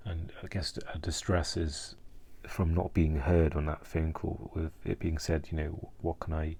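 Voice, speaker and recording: Very deep, halting, distressed man. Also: little pitch movement, a little mellow, husky. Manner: hesitant, halting, searching for words. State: distressed, subdued. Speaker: mental health vlogger. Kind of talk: personal reflective vlog monologue.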